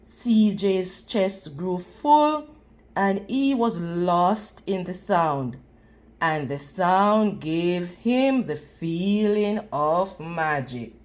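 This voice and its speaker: A woman reading a picture book aloud to children in a deliberate, teacherly manner, pausing for questions. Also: on the low side, lively intonation, a little mellow. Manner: deliberate, teacherly, pausing to quiz and praise listeners. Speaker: children's read-aloud reader. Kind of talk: read-aloud storybook narration with questions to young listeners.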